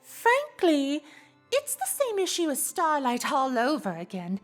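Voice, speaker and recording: A woman's voice: sits high, wildly swooping pitch, a little slow, soft background music, heavily acted. A woman playing a refined, teasing, gossipy female pony with theatrical, genteel phrasing in a cartoon fan audio drama.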